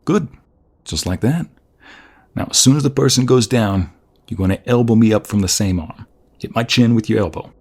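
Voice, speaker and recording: A man playing a protective, flirtatious mobster husband in an ASMR roleplay, gravelly and husky, coaxing his partner with affection. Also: lowish voice, moderate pace, darkish tone. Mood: patient, instructing.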